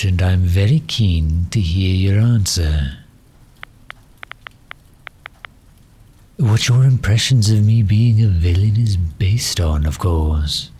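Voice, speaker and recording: Man playing a slow, low-voiced genteel villain. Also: warm tone, husky, heavily acted. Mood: courteous, probing. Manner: formal, precise, unhurried, politely condescending. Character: genteel, erudite male villain, courteous and misunderstood. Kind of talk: ASMR audio roleplay.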